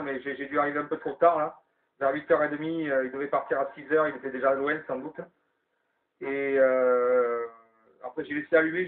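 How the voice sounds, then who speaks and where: Tinny CB radio man, slightly high voice. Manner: informal, colloquial, thinking aloud. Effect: CB radio transmission. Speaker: amateur CB radio operator leading a round of calls. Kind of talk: casual radio chat, passing the turn between operators. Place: CB radio link in FM. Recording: tinny narrow-band CB radio.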